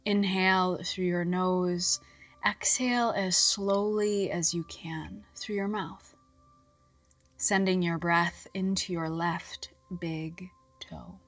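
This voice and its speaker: A woman reading a scripted guided relaxation in a soft, soothing, measured voice with long pauses and breathy phrase endings. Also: lowish voice, fairly even intonation. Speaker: guided meditation narrator. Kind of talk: scripted hypnobirthing relaxation guidance. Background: music.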